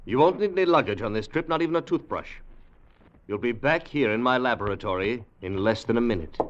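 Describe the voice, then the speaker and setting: Actor as confident inventor in muffled old radio drama. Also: male voice, mid-range voice, animated, a little hiss or hum, close to an everyday voice. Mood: assured. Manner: deliberate, emphatic, theatrical. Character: confident, insistent gentleman inventor of a time machine. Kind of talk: 1950s radio drama acting. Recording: dull 1950 radio recording.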